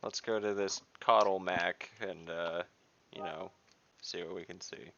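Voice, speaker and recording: A man's voice: low voice, steady tone, a little slow, a bit bright. A man chatting casually as a player in an online tabletop game. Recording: dull, noisy online call audio.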